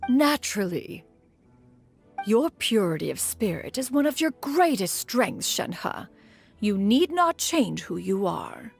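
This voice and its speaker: A woman's voice: mid-range voice, big pitch swings, vocal fry, faint music behind, full character voice. A woman voicing a proud, protective, composed immortal auntie in a video game, speaking in formal, stately phrases. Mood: composed, reassuring. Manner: formal, stately, measured wording.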